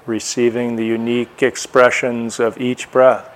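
A man teaching a guided meditation, speaking in short, unhurried phrases with long pauses between them. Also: mid-range voice, little pitch movement, rough recording.

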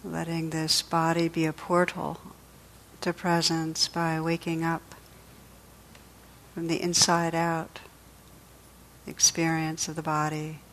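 A woman leading a guided meditation as a teacher, speaking in a gentle, soothing, unhurried way with pauses. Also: deep voice, completely flat delivery, low-quality recording.

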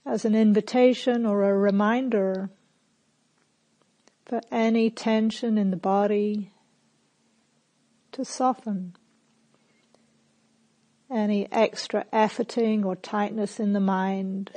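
Woman, meditation teacher, very unhurried pace, soft mellow tone, calm and gentle, long pauses. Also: mid-range voice, low-quality recording. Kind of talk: guided meditation instruction.

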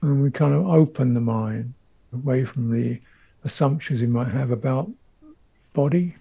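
A man with a husky, creaky voice leads a guided meditation. He speaks as a teacher in halting, thoughtful phrases, leaving long gaps between them. The recording is slightly dull. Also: mid-range voice. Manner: halting, reflective, short phrases with long pauses.

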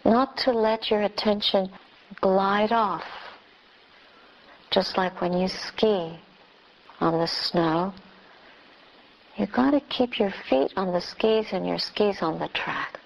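A woman who is a Buddhist nun leading a guided meditation, speaking in short phrases with long pauses. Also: mid-range voice, vocal fry, rough recording.

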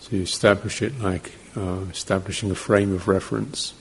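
A man teaching guided meditation, speaking in short, gentle fragments with long pauses between them. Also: low voice, fairly even intonation, gravelly, a little hiss or hum.

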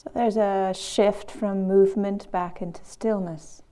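A woman teaching guided meditation, gently and in measured phrases with long pauses. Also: mid-range voice, steady tone, smooth.

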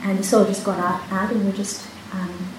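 Brief: Hebrew-speaking woman, meditation teacher, halting, reflective delivery; boomy retreat-hall recording. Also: little pitch movement. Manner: soft-spoken, unhurried, with many pauses and restarts. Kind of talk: dharma talk with personal story. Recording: echoey hall, faint hiss.

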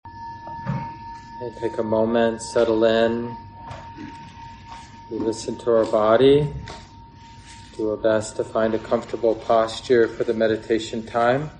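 A man teaching a guided meditation in a gentle, unhurried way, pausing between short phrases. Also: on the low side, level tone, slight background noise, rough recording. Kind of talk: guided meditation instruction, unscripted.

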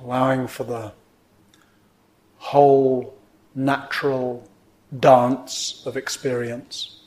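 A man teaching meditation, guiding a sitting in gentle, measured phrases with pauses between them. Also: medium pitch, level tone, rough recording. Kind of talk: guided meditation instruction.

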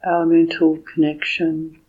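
A woman meditation teacher leading a guided meditation in a soft, slightly husky voice, speaking gently and deliberately with pauses between short phrases. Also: deep voice, monotone, lo-fi sound.

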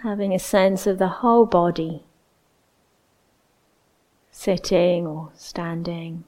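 A woman leading a guided meditation as a teacher, speaking in a gentle, unhurried way with long pauses. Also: on the low side, steady tone.

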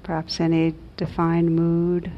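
Slow, low-voiced woman guiding meditation. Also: monotone. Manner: gentle, measured, long pauses between phrases. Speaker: meditation teacher. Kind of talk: guided meditation, slow and reflective. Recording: dull muffled audio, low rumbling noise.

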